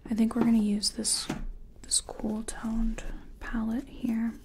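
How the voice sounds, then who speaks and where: A woman playing a nervous makeup contestant in a soft-spoken ASMR roleplay, whispering hesitantly as she thinks aloud. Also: fairly high pitch, monotone, slow pace, noisy background. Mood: nervous, focused. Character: nervous female makeup artist competing in a contest. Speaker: ASMR performer.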